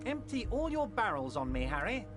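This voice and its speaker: High, nasal, posh British schemer. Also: male voice, very animated, normal speed, fairly bright tone, faint music behind. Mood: oily eagerness. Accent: British, posh Received Pronunciation. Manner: unctuous, insistent, theatrical. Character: overbearing, slightly posh, scheming male political operator. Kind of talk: video game character acting.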